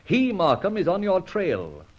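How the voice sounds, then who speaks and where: An actor playing a dashing, sardonic masked vigilante in an old-time radio drama, speaking in a theatrical, clipped and commanding way. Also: male voice, sits high, wildly swooping pitch, unhurried, exaggerated voice. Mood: accusing, commanding. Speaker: lead actor in a radio detective serial. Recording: dull vintage radio recording.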